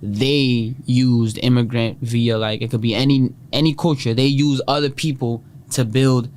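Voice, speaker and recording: A man co-hosting a casual chat, thinking out loud and often asking "you know what I mean". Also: medium pitch, fairly even intonation. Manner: fast, reflective, says "you know what I mean" a lot. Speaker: co-host.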